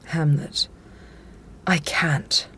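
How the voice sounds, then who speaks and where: A woman's voice: sits low, steady tone, drawn-out words, a bit bright, husky, whispered, exaggerated voice. A woman playing a middle-aged widowed queen and protective mother in an audio drama, with an imperious, reproachful manner. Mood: pained, tender. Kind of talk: modern audio drama acting.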